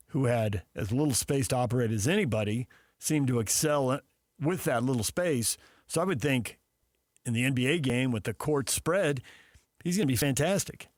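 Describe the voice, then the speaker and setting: A man's voice: mid-range voice, no echo, studio quality. A man hosting sports talk radio, discussing in a casual, opinionated way.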